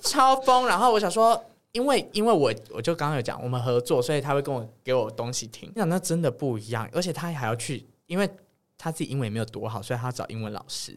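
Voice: mid-range voice, expressive, quick pace. A podcast host with a Taiwanese Mandarin accent, chatting casually in a playful, teasing way. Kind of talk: casual chat.

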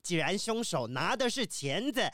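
A fussy kiwi bird professor in a high-pitched, very animated voice. He is pedantic, self-righteous and quick to take offence, as in a kids' cartoon story. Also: no room sound, studio quality, heavily acted. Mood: defensive, indignant. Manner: pedantic, indignant, hesitant interjections. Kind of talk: children's audio drama acting.

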